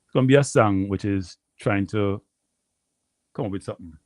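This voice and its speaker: A Jamaican man hosting a live stream, rambling casually to his viewers and repeating himself, with a deep voice. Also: moderate pace, darkish tone, no room sound. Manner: rambling, repeats phrases, addresses viewers as people. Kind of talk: casual livestream chat.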